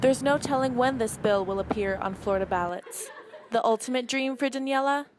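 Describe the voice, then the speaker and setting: A woman's voice: fairly high pitch, a little fast. A woman reading scripted student news narration as a reporter.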